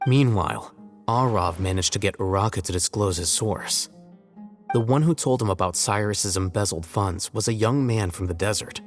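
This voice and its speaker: A man voicing a composed young scholar in a video game, measured, articulate and detached. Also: on the low side, soft background music, lightly acted. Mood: matter-of-fact. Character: composed, matter-of-fact young male scholar, coolly analytical. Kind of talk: English video game dub acting.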